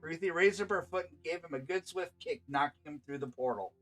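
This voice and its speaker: A man's voice: a little high, fairly quick, fairly bright tone, soft background music. A man reading scripted fantasy narration aloud as a game master and storyteller.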